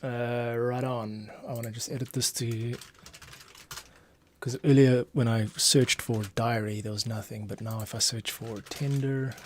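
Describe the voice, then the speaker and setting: A man giving a casual screencast tutorial, thinking aloud and muttering to himself with pauses as he works. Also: mid-range voice. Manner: muttering to himself, pausing while working. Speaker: composer, streamer host. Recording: faint noise, keyboard and mouse clicks. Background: typing, mouse clicks.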